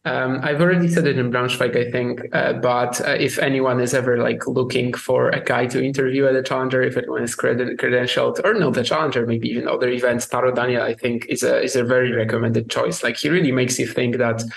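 A man's voice: medium pitch, level tone, fast, vocal fry, hardly any pauses, slight background noise. A man co-hosting a sports podcast, talking casually with many restarts and fillers. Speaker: podcast co-host.

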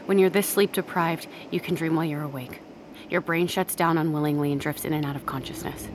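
Blunt, tough low-voiced woman medic played by an actress. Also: fairly even intonation, a little fast, noisy background, lightly acted. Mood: grimly determined. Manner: blunt, commanding, sweary. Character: tough, foul-mouthed female medic keeping a friend awake. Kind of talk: audio drama acting.

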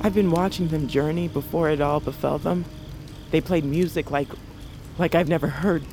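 A man's voice: fairly high pitch, steady tone, normal speed, darkish tone, faint music behind, close to an everyday voice. A man playing a haunted, sensitive but brave young fugitive in a fantasy audio drama. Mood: haunted, brooding.

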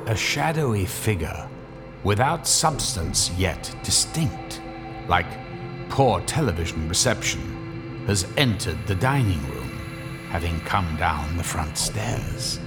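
A man playing the grave narrator of a radio ghost drama, reading in a measured, portentous, suspenseful way. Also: low-pitched, sing-song, full character voice. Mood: ominous. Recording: loud music behind the voice.

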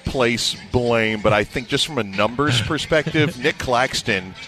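A man's voice: medium pitch, measured pace, over music. Guy who calls games, chatting sports analysis on the radio, medium voice, conversational. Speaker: play-by-play announcer and guest analyst.

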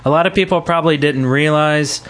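A man's voice: a little high, brisk, a bit bright. Casual man hosting a podcast. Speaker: podcast host. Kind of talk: casual gaming podcast chat.

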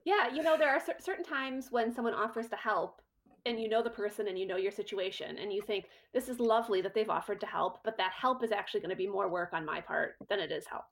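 A middle-aged woman talks as a guest in a relaxed interview, brisk and lively as she tells her story. She acts out her own thoughts in quotes, with a voice of middling pitch. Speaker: costume designer and guest. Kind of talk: casual interview chat.

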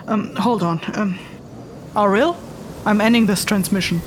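A polite young woman investigator, played by an actress speaks at a middling pitch. She is inquisitive but hesitant, in fantasy audio drama dialogue set over clear background noise. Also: a little slow, lightly acted.

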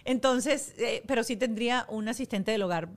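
A middle-aged woman with a Venezuelan accent and a slightly high voice chats as a guest. She tells stories in an animated, self-mocking way, acting out the lines she quotes. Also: moderate pace. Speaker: guest, TV presenter and actress. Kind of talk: casual chat, storytelling.

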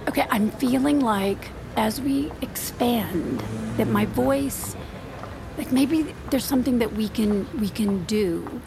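A woman's voice: fairly high pitch, lively intonation, slow pace, vocal fry, background noise, exaggerated voice. An actress playing an anxious, flustered professional woman in an audio drama, hesitant and apologetic. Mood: pleading, tense. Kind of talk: naturalistic audio drama acting.

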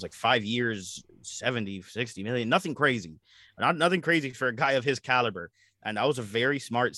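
A man hosting a casual sports podcast, talking in a rambling, animated way and often repeating his words. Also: mid-range voice, no echo. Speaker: podcast host.

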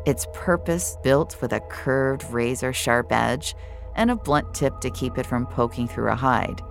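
A cold, gloating killer telling their own story in an audio thriller. The voice is extremely low with a gravelly creak, measured and menacing. A faint musical score runs underneath. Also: female voice, animated, soft background music, exaggerated voice. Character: cold, gloating serial killer narrating the hunt. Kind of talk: first-person audio fiction narration. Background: dramatic score.

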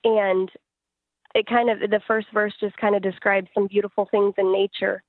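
Woman in a muffled, even-toned interview. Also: medium pitch, moderate pace, no echo. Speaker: singer-songwriter being interviewed. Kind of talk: conversational interview answers.

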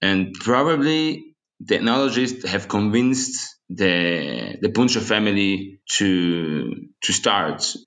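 A young man from Switzerland and South Tyrol, English not his first language, a winery estate manager speaking haltingly and searching for words as an interview guest. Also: lowish voice, animated, fairly bright tone. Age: young adult.

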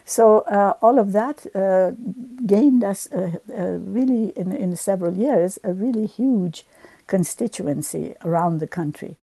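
An older woman from Iran speaks as an interview guest. Her voice is on the low side with a gravelly fry and a soft, dark tone. She answers reflectively, halting and choosing words with care, in a dry, close recording. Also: dry recording. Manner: halting, deliberate. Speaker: interview guest, former government minister.

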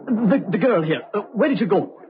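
An actor playing an earnest, bewildered young American man in an old-time radio drama, speaking haltingly and urgently. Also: high-pitched, lively intonation, background noise. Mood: agitated, insistent. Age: young adult. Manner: halting, urgent, repeats himself. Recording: muffled old radio recording.

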